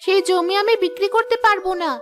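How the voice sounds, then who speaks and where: A woman's voice: very high voice, fairly even intonation, quick pace, background noise. A woman voicing a hardworking, worried village wife and mother in a cartoon, earnest and caring. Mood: earnest, anxious. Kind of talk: Bengali fairy-tale cartoon voice acting. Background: music.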